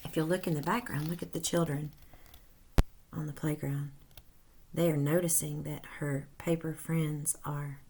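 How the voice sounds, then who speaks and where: A woman teacher reading a storybook aloud to young children in a deliberate, instructive way with animated emphasis. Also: low voice, a little hiss or hum. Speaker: first grade teacher.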